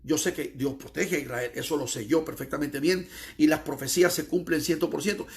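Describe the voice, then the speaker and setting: A man's voice: on the high side, fairly quick, vocal fry. A middle-aged man from the United States, an evangelist preaching emphatically, repeating phrases and stretching key words. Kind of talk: preaching monologue.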